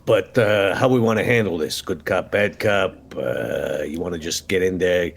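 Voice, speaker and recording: A man voicing a gruff, streetwise big vampire in a tabletop game, gravelly, with tough-guy slang. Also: low-pitched, normal speed. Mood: streetwise, wary. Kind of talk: in-character tabletop roleplay. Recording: clearly noisy background.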